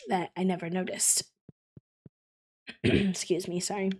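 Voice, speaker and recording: A woman streaming a game, chatting casually and thoughtfully with many fillers, her voice slightly croaky from just waking up. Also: on the low side, flat delivery, measured pace, a little mellow. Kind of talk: casual livestream chat while playing.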